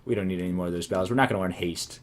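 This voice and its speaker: Low male let's-play host, wandering self-amused musings, voice turning hoarse, faint keyboard noise underneath. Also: very fast. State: voice going hoarse. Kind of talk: casual gameplay commentary.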